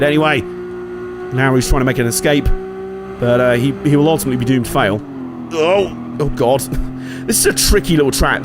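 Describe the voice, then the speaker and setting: Hoarse guy doing chatty sweary racing game commentary, bit high-voiced, game music going. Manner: chatty, jokey, sweary, reacting aloud to the race. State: slightly hoarse, coming down with a cold. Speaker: gaming channel host.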